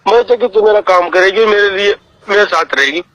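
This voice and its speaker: Distressed, pleading man, high-pitched and bright. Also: fairly quick, dry recording. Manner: pleading, repetitive, swearing oaths. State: distressed, tearful. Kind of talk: emotional private phone argument. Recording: recorded phone call. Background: phone call audio.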